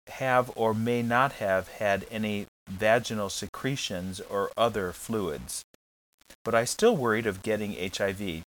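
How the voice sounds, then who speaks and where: A man's voice: on the low side, a bit bright, poor audio. A man hosting an advice podcast, reading listener letters aloud and answering them in a blunt, jokey, frank way.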